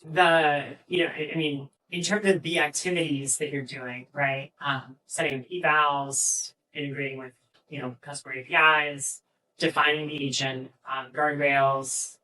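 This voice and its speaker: Distant, bright-voiced man explaining haltingly. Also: a little high, flat delivery. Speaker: panelist, AI agent engineer. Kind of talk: fireside chat answers. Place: live fireside chat event. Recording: distant mic, poor event audio.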